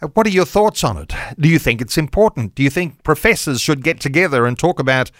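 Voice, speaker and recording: A man's voice: on the high side, lively intonation, fast talker, no echo. Upbeat radio host man, dry close sound. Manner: upbeat, chatty. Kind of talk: radio interview and announcements.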